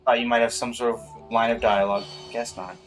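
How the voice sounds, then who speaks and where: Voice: mid-range voice, flat delivery, fairly quick, soft background music. A game streamer giving casual live reaction commentary, with offhand, dry asides.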